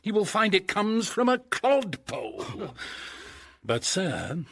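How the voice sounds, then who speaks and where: An actor playing a boisterous, scheming knight in a Shakespearean radio comedy, pompous and theatrical, savouring grand words with a husky voice. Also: male voice, high-pitched, big pitch swings, slow pace, fairly bright tone, heavily acted. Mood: gleeful scheming. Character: boisterous, scheming knight and uncle, relishing mischief.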